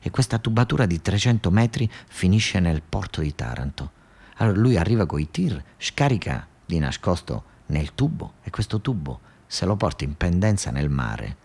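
Deep-voiced southern Italian male storyteller. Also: very animated, darkish tone, slightly rough. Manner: conversational, repeats phrases for emphasis, halting restarts. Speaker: storyteller, monologue performer. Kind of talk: colloquial theatrical monologue storytelling for radio.

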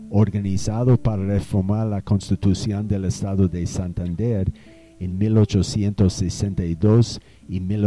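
Man acting a slow, stately old-time gentleman. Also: lowish voice. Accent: Colombian. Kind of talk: amateur radio drama acting.

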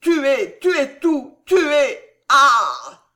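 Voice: mid-range voice, very animated, slow pace. A narrator voicing an anguished, unstable young king in a breathless, agitated, exclamatory manner. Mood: frenzied rage.

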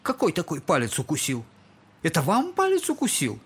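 Tipsy shabby captain, middle-aged, high husky voice, clowning around, servile but defiant. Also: male voice, huge pitch swings, fairly quick, heavily acted. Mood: bitter taunting. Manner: clowning, servile, mockingly over-polite. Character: shabby drunken captain, buffoonish, humiliated yet defiant. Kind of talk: theatrical audiobook drama.

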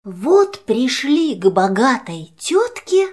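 Female fairy-tale narrator: high, unhurried verse delivery with faint music. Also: big pitch swings.

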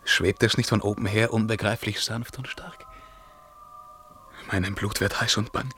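An actor playing an imperious, self-tormenting emperor in a German radio play, declaiming verse that swings between command and hushed brooding. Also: male voice, fairly low pitch, fairly even intonation, normal speed, slight background noise, lightly acted. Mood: brooding, anguished.

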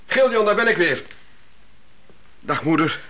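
A man's voice: high-pitched, animated, measured pace, pushed and bright, a little hiss or hum, full character voice, low-quality recording. An actor playing an eager, cheerful young man, a son returning home, in a radio play. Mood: excited, cheerful. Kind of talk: radio drama acting.

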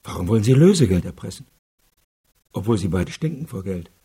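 A senior prosecutor in a radio crime drama. His voice is heavily rasping, low and mellow. He questions insistently, troubled and searching for reasons. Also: sing-song, fairly quick, exaggerated voice. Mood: troubled, probing. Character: troubled, probing senior male chief prosecutor.

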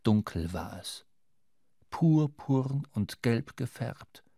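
A man's voice: mid-range voice, lively intonation, slow, dark tone, no echo, studio quality. A man playing a wry, brooding seafarer who narrates his own adventure in a literary radio drama. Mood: tense, hushed. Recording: clear music behind the voice.

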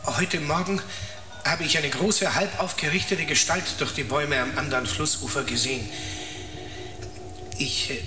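A man's voice: a little high, moderate pace, long pauses, sharp tone, reverberant, soft background music. A man playing an uneasy expedition member in a radio play, giving a formal, slightly hesitant field report over a radio.

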